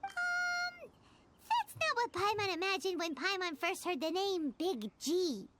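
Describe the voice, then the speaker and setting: Casting: a tiny third-person-talking sidekick, very high and animated. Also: female voice, measured pace, a little hiss or hum, full character voice. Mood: puzzled. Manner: speaks of herself in the third person. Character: tiny chirpy female floating companion. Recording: game audio over music.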